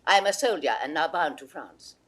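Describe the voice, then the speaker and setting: A woman's voice: sits low, animated, a little fast, slightly rough. An actress playing a proud, formidable old queen mother with stately, commanding theatrical delivery. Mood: bold, approving. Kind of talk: 1960s classical Shakespeare audio drama acting.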